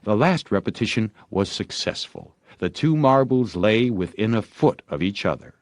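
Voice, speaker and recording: A man's voice: lowish voice, lively intonation, moderate pace, no room sound, studio quality. A man narrating a story expressively as an audiobook reader.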